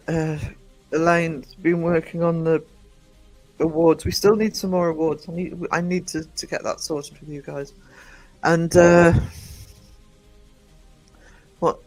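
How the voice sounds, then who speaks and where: Croaky man chatting on a stream. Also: on the high side, low-quality recording. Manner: rambling, chatty, greets viewers warmly by name, frequent ums. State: croaky from just waking up. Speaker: live-stream host. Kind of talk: casual live-stream chat. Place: indoors at home. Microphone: desk microphone.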